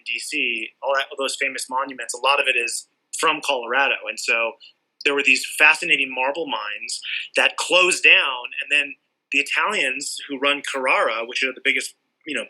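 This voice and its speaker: A man's voice: mid-range voice, sharp tone, poor audio. A man from Southern California, a sculptor and art teacher, chatting casually in an interview and rambling with lots of "like" and restarts. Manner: rambling, many likes and restarts.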